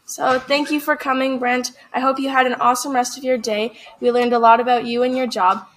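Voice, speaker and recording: A woman's voice: sits high, flat delivery, a little fast, a bit bright, slight background noise. A young woman hosting a student podcast, reading a friendly, planned wrap-up. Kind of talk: scripted show host wrap-up.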